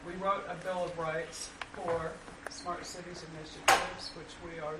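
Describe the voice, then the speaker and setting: A panelist speaking in a measured, deliberate way at a roundtable discussion. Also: female voice, fairly low pitch, little pitch movement. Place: conference room. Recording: echoey room, distant mic, loud background noise.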